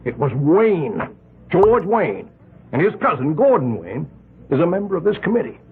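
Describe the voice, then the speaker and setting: Muffled vintage radio sound carries an older, wealthy American man heading a vigilante committee. His husky voice swings widely in pitch as he justifies himself in halting, emphatic bursts, full of agitation. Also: very animated, full character voice. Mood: indignant. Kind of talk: old-time radio drama acting. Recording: muffled, narrow-band old radio recording.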